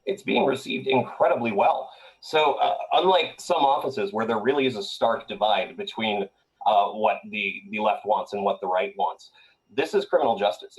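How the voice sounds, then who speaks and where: A man's voice: lowish voice, rough recording. A man from Colombia, a political candidate, answering interview questions with earnest campaign-speech delivery and frequent "uh" fillers.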